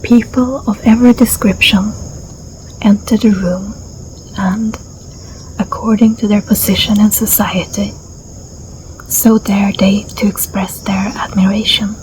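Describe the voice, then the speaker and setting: A woman with a Swedish accent, a native Swedish speaker, reading a story as soft-spoken ASMR in a gentle, breathy voice that often drops to a whisper. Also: mid-range voice, fairly even intonation, low-quality recording. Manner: gentle, unhurried, soothing. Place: outdoors in a garden. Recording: clear outdoor ambience with insect noise. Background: crickets and insects, garden ambience.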